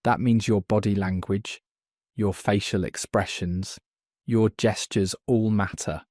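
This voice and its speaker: A man teaching a beginner English lesson, speaking slowly and clearly so learners can follow. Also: lowish voice, fairly even intonation, darkish tone, dry recording, studio quality. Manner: slow, clear, deliberate delivery for learners. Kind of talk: slow scripted lesson narration.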